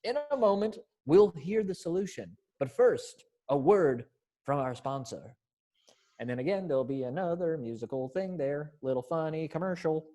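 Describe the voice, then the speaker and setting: A playful narrator reading stage directions and announcements in a deliberate way during an amateur play rehearsal. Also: medium pitch, expressive, warm tone. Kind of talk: animated readers theater narration with vocalized cues.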